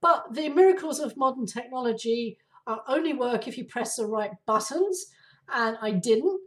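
A woman hosting her own podcast, her voice raspy and hoarse from flu, talking casually with many ums and uhs. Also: fairly high pitch, expressive, normal speed, far from the mic. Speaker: podcast host. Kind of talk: casual solo podcast talk.

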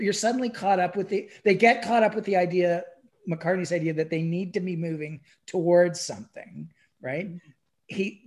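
A man in a casual, enthusiastic conversation about film, halting and repeating words and phrases for emphasis. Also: high voice. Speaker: podcast guest or co-host discussing a film.

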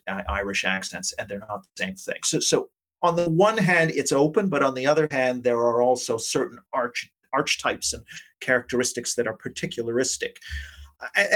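A man's voice: medium pitch, a bit bright. A man speaking as an academic interview guest, explaining his points with many qualifiers. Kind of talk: interview discussion.

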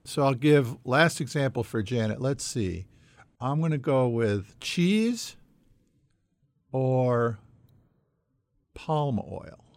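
A man's voice: mid-range voice, animated, slow pace, pauses often, no room sound, clean studio sound. A man hosting a conversational quiz segment on a podcast. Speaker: podcast co-host running a quiz.

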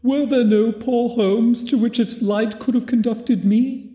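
A man voicing a mournful male ghost in chains, solemn and drawn-out, with an echoing reverb effect. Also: extremely high pitch, steady tone, mellow, voice pushed up. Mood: solemn warning. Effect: hall reverb. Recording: long echoey reverb.

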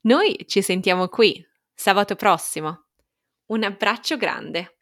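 An Italian woman reads a personal newsletter aloud as a teacher would, addressing the listener directly in a deliberate, expressive way. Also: medium pitch. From Italy.

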